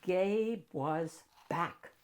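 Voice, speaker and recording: Slow-paced woman reading a picture book aloud. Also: fairly low pitch, a little mellow, clear, resonant tone. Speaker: picture-book reader and host. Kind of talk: expressive storybook narration.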